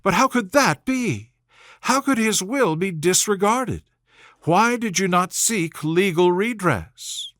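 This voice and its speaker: A man's voice: sits high, animated, takes it slowly, a bit bright, dry recording, studio quality, voice pushed up, heavily acted. A man voicing a spirited, indignant young genteel woman from a Regency storybook, exclaiming earnestly in formal phrases. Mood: shocked, indignant. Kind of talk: storybook character voice in audiobook reading.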